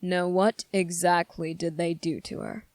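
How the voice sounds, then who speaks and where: A sardonic demon, played by an ASMR performer, speaks in a slow, gravelly drawl. The delivery is deliberate and condescending, with menacing pauses. The sound is dry and intimate, as in an audio roleplay. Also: mid-range voice, steady tone, a little mellow, no room sound. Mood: cold, probing. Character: sardonic, menacing demon weighing a summoner's revenge plea. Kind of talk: dramatic ASMR roleplay monologue.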